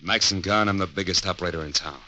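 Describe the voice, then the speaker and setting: A man's voice: low-pitched, fast, bright, forceful tone, a little hiss or hum. An actor playing a cold, cocky gangster boss who speaks in clipped, threatening tough-guy talk, in 1940s radio acting style. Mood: menacing.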